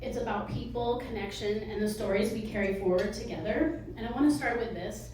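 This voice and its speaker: A soft-spoken woman, a museum director, giving a live fundraising pitch with nervous little laughs and many ums. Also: brisk, slight background noise. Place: meeting hall with audience. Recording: echoey hall from far off.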